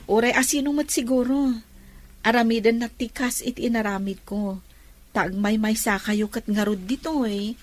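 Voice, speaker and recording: A woman's voice: on the high side, fairly even intonation, normal speed, natural voice. An actress playing a kind, dutiful young village woman in an Ilocano radio drama, speaking with an Ilocano accent. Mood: caring.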